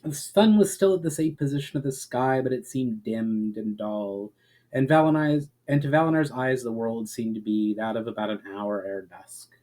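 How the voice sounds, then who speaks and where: A man's voice: medium pitch, moderate pace, dark tone, poor audio. Man giving a dramatic fantasy story reading. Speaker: author reading own story aloud. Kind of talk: dramatic audiobook-style narration of fantasy fiction.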